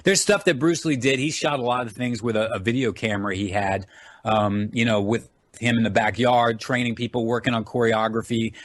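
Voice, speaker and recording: An American man with a medium-pitched voice talks as a guest over an internet call. He is eager and chatty, rambling through his stories in a casual interview style. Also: fairly even intonation, brisk, dry recording. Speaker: guest, actor and film director.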